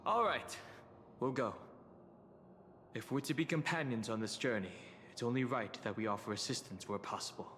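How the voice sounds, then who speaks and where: An actor playing a thoughtful, reserved traveler in a video game, with measured, formal and dryly composed delivery. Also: male voice, medium pitch, a bit bright, a little hiss or hum, close to an everyday voice. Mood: resolute.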